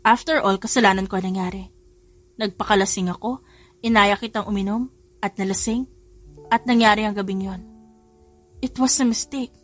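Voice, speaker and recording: A woman's voice: mid-range voice, steady tone, moderate pace, pauses often, faint music behind, lightly acted. A woman voicing a guarded, guilt-ridden young single mother in a romance audio story, with a defensive, emotional delivery. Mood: guilty, resigned.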